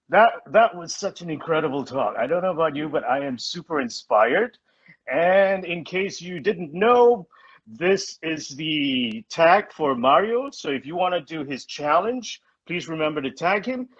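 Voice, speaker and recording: A man's voice: sits high, slightly rough. A man hosting a live online talk show, effusive and enthusiastic. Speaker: host. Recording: dull lo-fi sound.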